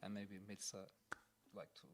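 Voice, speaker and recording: A man with a Turkish accent, a musician answering audience questions, speaking haltingly with many fillers and restarts. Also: on the low side, completely flat delivery. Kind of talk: panel Q&A answers.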